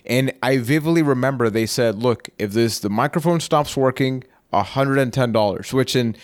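A man from Puerto Rico with a Puerto Rican accent, co-hosting a casual podcast chat and telling animated stories. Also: medium pitch, normal speed, no room sound, studio quality. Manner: animated storyteller, laughs often. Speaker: podcast co-host.

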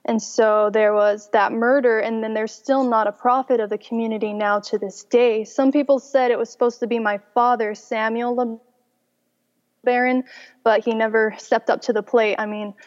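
A young woman from Mexico tells her story with cheerful ease and a slightly higher voice. The audio is muffled and lo-fi, with a long, lingering reverb behind her. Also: steady tone, moderate pace. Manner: cheerful, laughs often. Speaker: interview guest. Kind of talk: personal testimony in conversation.

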